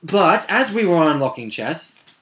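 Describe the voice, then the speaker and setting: A man's voice: fairly high pitch, sing-song, measured pace, a bit bright. A man telling a casual, unscripted recap of a tabletop roleplaying session, wordy and rambling, with asides and self-corrections.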